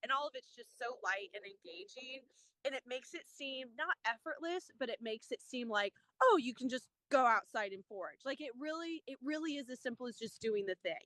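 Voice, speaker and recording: A woman's voice: high-pitched, animated, brisk, fairly bright tone, dry recording, rough recording. A woman landscaper speaking as a panel guest in a casual chat, enthusiastic and rambling, with many fillers.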